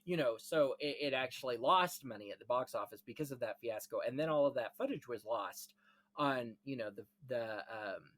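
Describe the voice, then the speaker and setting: A man's voice: mid-range voice, lively intonation, normal speed, a bit bright. A man hosting a casual discussion of film history, explaining things in rambling, enthusiastic detail. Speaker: podcast host.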